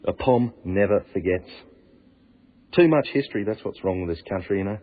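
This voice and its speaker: Blunt aussie tunnel worker, deep rough husky voice, griping, radio play. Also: male voice, expressive, fairly quick. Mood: wry griping. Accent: Australian. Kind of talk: naturalistic radio drama acting. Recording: narrow, lo-fi phone-like radio recording.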